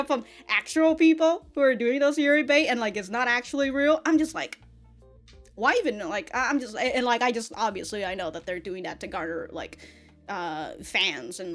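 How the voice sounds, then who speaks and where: A young woman with a fairly high voice talks through a review in a loose, rambling way. She hosts casually, using informal fan slang and a giggly manner when retelling scenes. Music plays faintly behind her. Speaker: anime reaction and review host. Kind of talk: casual rambling episode review with acted-out quotes.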